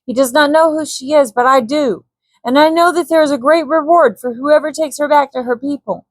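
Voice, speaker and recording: A woman's voice: high-pitched, a little fast, no room sound, voice pushed up, lightly acted. A woman voicing a frightened, scheming Swedish villain in a storybook reading, pleading and making excuses. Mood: pleading, fearful. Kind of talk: dramatic storybook character voice.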